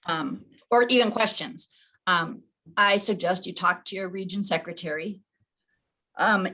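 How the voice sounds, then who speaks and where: Meeting leader: woman, lower-pitched yet bright, informal and conversational. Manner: casual, conversational, thinking aloud. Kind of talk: informal explanation in a staff meeting.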